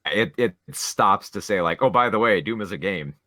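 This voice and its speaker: Low-voiced man with a bright, opinionated delivery. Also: lively intonation, moderate pace, no echo, clean studio sound. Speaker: film podcast co-host. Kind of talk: casual film discussion.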